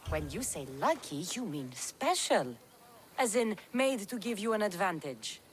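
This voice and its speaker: A woman's voice: medium pitch, measured pace. An actress playing a dry, sardonic female mercenary in a video game. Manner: dry, wry, deadpan remarks. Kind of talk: video game cutscene acting.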